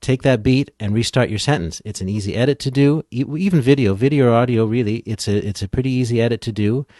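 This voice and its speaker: A man's voice: medium pitch, quick pace, warm tone, no echo, clean studio sound. A man speaking as an expert guest in a casual interview, explaining things step by step in a conversational way. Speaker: podcast editing expert guest.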